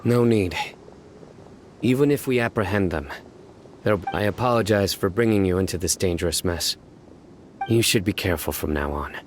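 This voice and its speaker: A man acts a calm, protective young lawman in an anime-style game. The voice sits low, formal and measured, stern without hurry, with a little noise behind it. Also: lightly acted. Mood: calm, reassuring.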